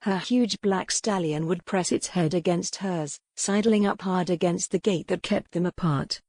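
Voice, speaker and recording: Measured audiobook narrator, very dry sound. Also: medium pitch, a little mellow, dry recording. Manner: measured, storytelling. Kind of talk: scripted narration of a historical romance novel.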